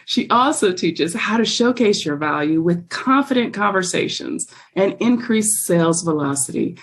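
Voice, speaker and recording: A woman's voice: medium pitch, expressive, moderate pace. A woman hosting a podcast, reading a guest introduction and interviewing in an upbeat, affirming way. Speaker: podcast host.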